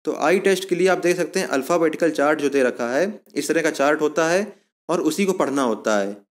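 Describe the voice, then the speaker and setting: A man's voice: a little high, animated, a little fast. A man giving an informal explanatory lesson as an exam coaching presenter, going step by step and repeating himself to recap.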